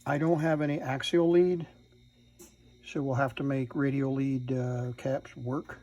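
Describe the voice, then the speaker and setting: A man at an indoor workbench gives an unscripted, hands-on repair demonstration, thinking aloud in a halting way as he works. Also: mid-range voice, little pitch movement, darkish tone. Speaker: electronics repair hobbyist presenting.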